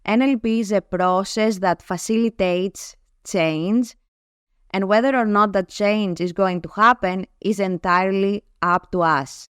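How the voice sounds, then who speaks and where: A woman with a Greek accent, a native Greek speaker, giving measured, explanatory answers as an interview guest. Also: mid-range voice, a bit bright, smooth, dry recording, studio quality. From Greece. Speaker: guest, NLP trainer. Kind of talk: reading prepared answers in an interview.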